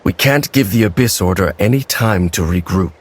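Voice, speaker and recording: A man voicing a stern, aloof lone warrior in a video game, speaking in a formal, measured, terse manner. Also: low-pitched, animated, a little hiss or hum. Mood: grave. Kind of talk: dramatic video game character acting.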